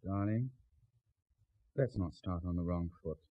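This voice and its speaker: An actor playing a jaded, evasive English husband with a stammering, apologetic manner in a 1970s radio drama. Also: male voice, sits low, measured pace, dry recording, narrow, tinny audio. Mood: weary, irritated. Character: jaded, evasive, flustered middle-class husband.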